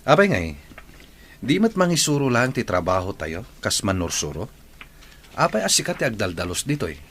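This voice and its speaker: A man's voice: on the low side, very animated, a little fast, a bit bright. A man with an Ilocano accent playing a nosy, petty teacher in an audio drama. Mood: confrontational. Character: nosy, critical male teacher, petty and challenging colleague. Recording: clearly noisy background.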